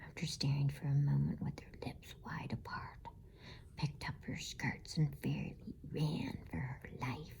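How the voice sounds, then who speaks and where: Whispering, creaky low-voiced woman reading. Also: fairly even intonation. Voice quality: soft, breathy whisper. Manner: slow, gentle, hushed. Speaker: bedtime story reader. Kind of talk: whispered ASMR storybook reading.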